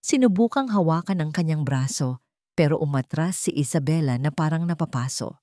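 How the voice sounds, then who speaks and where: A woman's voice: low-pitched, animated, normal speed, warm tone, no room sound, clean studio sound. A woman storyteller reading dramatic scripted narration.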